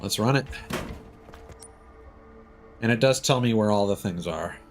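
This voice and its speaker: A man's voice: fairly low pitch, unhurried, soft background music. A man giving casual live gameplay commentary as a streamer, thinking aloud as he plays. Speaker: game streamer.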